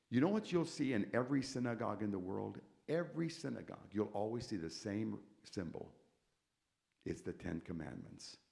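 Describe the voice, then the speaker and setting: Older male preacher, deep and gravelly, emphatic church testimony with big dynamic swings. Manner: emphatic preacher, repeats key words, swings from quiet to loud. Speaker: concert pianist giving testimony. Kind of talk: testimony sermon to a congregation. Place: church sanctuary.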